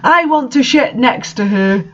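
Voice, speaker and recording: A man's voice: very high voice, expressive, a little slow, a bit bright, lo-fi sound, pitched above the performer's own voice, full character voice. A man voicing angry, lovesick, foul-mouthed teenage boys in a heated squabble from a story. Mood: angry, jealous. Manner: heated, swearing.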